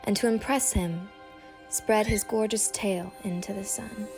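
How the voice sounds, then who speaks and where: Female storybook narrator, mid-pitched, music playing clearly behind her. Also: steady tone, unhurried. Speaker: narrator of a fable.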